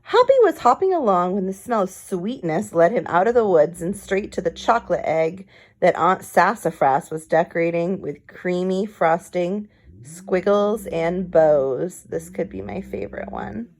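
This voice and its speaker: A woman's voice: on the low side, animated, unhurried. A woman narrating a children's storybook, with casual asides that explain things to young listeners. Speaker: picture book read-aloud reader.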